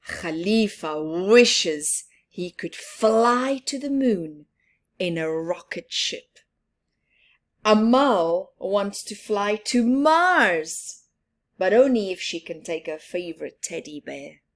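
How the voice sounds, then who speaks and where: A woman reading a picture book aloud to children, expressive and sometimes dropping to a whisper. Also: mid-range voice, slow. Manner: expressive, drops into soft whispers. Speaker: children's story reader.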